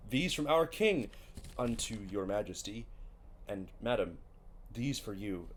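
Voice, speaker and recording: An actor playing a dutiful messenger delivering formal address at court in a Shakespearean drama. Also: male voice, lowish voice, animated, measured pace, slight background noise. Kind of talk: Shakespearean stage-style audio drama acting.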